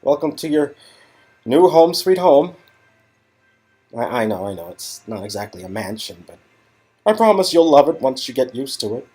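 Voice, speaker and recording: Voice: medium pitch, very animated, moderate pace, long pauses, warm tone, slightly rough, faint music behind. A performer voicing a self-deprecating, wisecracking young male Hollywood agent in an earnest, conversational game-dialogue reading. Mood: upbeat salesman.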